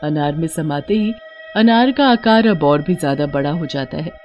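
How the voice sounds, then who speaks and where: A storyteller narrates a scripted cartoon horror tale in Hindi. The voice has a middling pitch, with music underneath. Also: expressive, moderate pace, dull audio.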